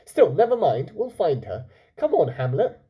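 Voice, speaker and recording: A man voicing a sly, bossy boy schemer in a children's story, brisk and persuasive as he gives orders. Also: on the high side, wildly swooping pitch, highs cut off, voice pushed up. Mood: brisk, confident.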